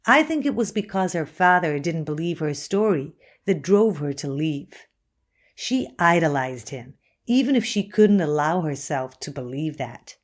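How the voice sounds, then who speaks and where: A woman's voice: sits low, lively intonation, measured pace. A woman playing the earnest, loyal best friend of a missing woman, firmly giving her account in a scripted podcast interview. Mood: sad, reflective.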